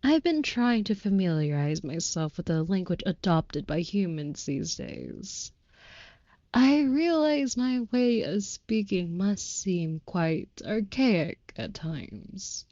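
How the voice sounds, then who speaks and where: A woman voicing a devoted, youthful but centuries-old vampire lover in an intimate audio roleplay, speaking softly with breathy, tender, archaic formality. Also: medium pitch, sing-song, slow, no room sound, dull audio. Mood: courtly, playful.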